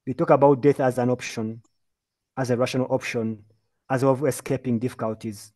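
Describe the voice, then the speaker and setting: A man's voice: medium pitch, steady tone, fairly quick, dark tone. A man from Uganda giving an earnest, emphatic talk as a mental health speaker in a webinar presentation, heard over a video call. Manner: earnest, emphatic, lecturing with lists and repeated phrases.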